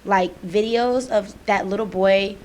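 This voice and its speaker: A young woman co-hosting a student podcast, talking in a casual, emphatic way with plenty of "like" fillers. Her pitch sits in a middle range. A faint noise floor runs under the recording. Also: unhurried. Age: young adult. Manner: animated, emphatic, many "like" fillers. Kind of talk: casual chat.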